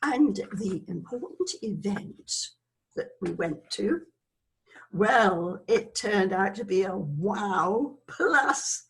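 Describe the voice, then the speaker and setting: A woman's voice: mid-range voice, animated, slow pace. An actress playing a chatty, frivolous older British woman who rambles through her memories in a theatrical dramatic monologue. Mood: proud, amazed. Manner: rambling, frivolous, digressive, self-excusing. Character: chatty, scatty older Englishwoman named Isabella, reminiscing.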